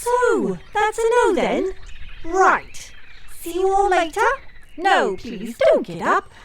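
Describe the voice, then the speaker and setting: A woman's voice: extremely high pitch, wildly swooping pitch, slow pace, slight background noise, full character voice. A woman playing a scatterbrained, self-important figure who is sulky, sarcastic and dramatic in an audio comedy drama.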